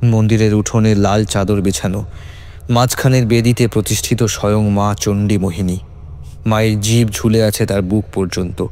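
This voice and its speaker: A man's voice: fairly low pitch, little pitch movement, moderate pace, a little mellow. A man reading a scripted horror story as a dramatic narrator. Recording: music under the voice. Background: background music.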